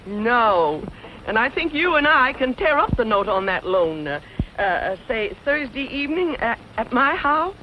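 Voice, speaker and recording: A woman's voice: a little high, very animated, measured pace. An actress playing a bossy but generous townswoman with an American accent and a commanding manner in a vintage western film. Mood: conciliatory, coy. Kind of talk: 1940s western film acting. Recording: muffled vintage film soundtrack, noisy crowd behind.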